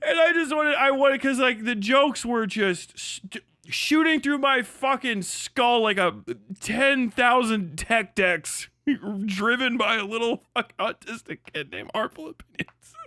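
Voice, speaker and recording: A man's voice: very high-pitched, expressive, bright, forceful tone, no room sound, clean studio sound. A man hosting a casual livestream, commenting in a profane, joking way and often stumbling over repeated words. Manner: profane, joking, halting and repetitive in delivery.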